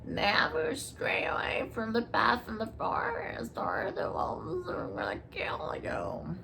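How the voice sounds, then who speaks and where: A woman's voice: on the high side, huge pitch swings, takes it slowly, gravelly, noisy background, voice pushed up, heavily acted. A woman voicing a storybook old grandmother, cautioning and patronizing. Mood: warning. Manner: warning, patronizing.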